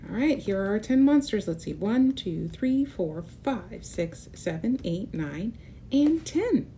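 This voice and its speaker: Female children's read-aloud host: very lively pitch, soft-edged mellow tone, expressive storytelling with chatty asides, slight rumbling noise. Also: a little slow. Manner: expressive, explains and points things out for children.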